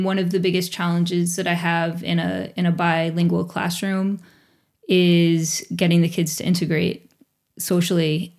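A woman's voice: fairly low pitch, darkish tone. A woman in her forties, a teacher who speaks English as her first language, answering interview questions in a reflective way with small restarts. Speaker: teacher at a bilingual school.

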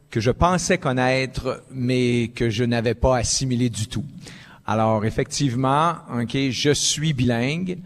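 A man speaks slowly and reflectively as a forum panelist, his mid-pitched voice ringing in a long hall reverb. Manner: slow, reflective storytelling with hesitations. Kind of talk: panel discussion.

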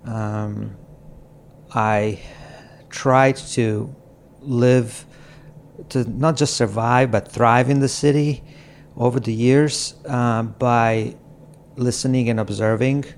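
A middle-aged man from Croatia, a podcast guest speaking slowly and reflectively in an apartment, with halting phrasing, frequent pauses and soft laughs. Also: medium pitch, fairly even intonation, a little hiss or hum. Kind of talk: reflective conversation. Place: apartment in a city.

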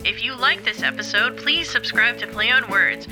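A woman's voice: a little high, normal speed, low-quality recording. A woman reading scripted podcast credits as a producer and announcer. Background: music.

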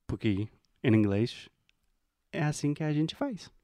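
An American man teaching English in a bilingual lesson, explaining patiently and modeling phrases slowly. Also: fairly high pitch, animated, dark tone, dry recording. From United States.

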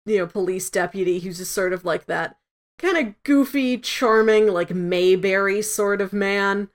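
Brief: female podcast co-host, medium pitch, informal conversational review style. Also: a little slow. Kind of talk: casual review discussion.

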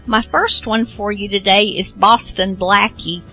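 A woman hosting a radio show in a casual, unscripted way, halting and rambling as she goes. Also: medium pitch, steady tone, sharp tone, slightly rough, soft background music, low-quality recording. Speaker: host of an old-time radio show.

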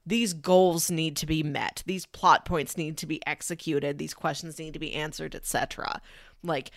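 Lower-pitched woman, close dry sound. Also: steady tone, normal speed, gravelly, no echo. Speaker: podcast co-host. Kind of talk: casual film discussion.

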